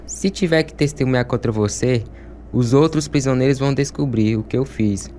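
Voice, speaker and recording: An actor as a guilt-ridden male prisoner, troubled and confessional, in a mid-pitched voice. It is radio drama with faint ambient noise behind. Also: moderate pace, warm tone, natural voice. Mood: remorseful, afraid. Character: guilt-ridden fellow prisoner turned informer.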